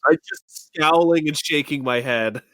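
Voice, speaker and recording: A man's voice: a little high, measured pace, fairly bright tone, no echo, rough recording. Casual guy player, dry close sound. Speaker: tabletop game player. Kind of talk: casual chat in game.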